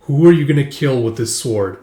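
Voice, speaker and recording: A man's voice: medium pitch, expressive, brisk, warm tone, lightly acted. Man playing a grave Viking father, echoey room. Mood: stern questioning. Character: calm, stern Viking father and veteran warrior.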